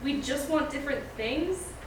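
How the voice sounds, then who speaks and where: A woman's voice: high voice, moderate pace, natural voice. A woman playing a supportive, frank teenage best friend in a casual screenplay table read. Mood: probing. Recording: echoey room, distant mic, background noise.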